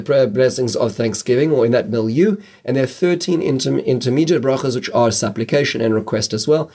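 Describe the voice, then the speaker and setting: Man teaching a prayer class, halting restarts. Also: medium pitch, a little mellow. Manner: explanatory, frequent restarts and stammered words. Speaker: religious teacher. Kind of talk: spoken Torah class on prayer.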